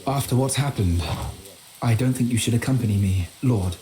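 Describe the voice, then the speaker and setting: Earnest medieval scholar played by a man, mid-pitched voice. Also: warm tone, lightly acted. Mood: cautious, deferential. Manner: formal, measured, literary. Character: earnest, learned, timid medieval scholar and chronicler. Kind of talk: dramatic journal narration in a video game.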